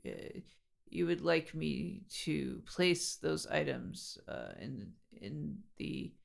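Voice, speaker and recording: A woman playing an overly formal, fussy new roommate in a comedy audio drama, speaking in stilted, wordy, halting sentences. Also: sits low, big pitch swings, creaky, full character voice. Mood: polite, hesitant.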